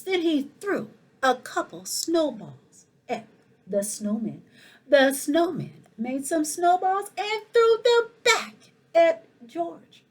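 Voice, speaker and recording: A woman reading a children's story aloud in an expressive, animated way, with asides to her young listeners. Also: high-pitched. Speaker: school principal reading a picture book aloud.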